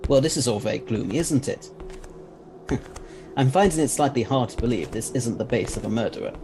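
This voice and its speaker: A man playing a self-assured, principled time-travelling scientist in an audio drama, speaking formally and with measured authority. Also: medium pitch, expressive, music in the background, close to an everyday voice. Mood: wry, wary.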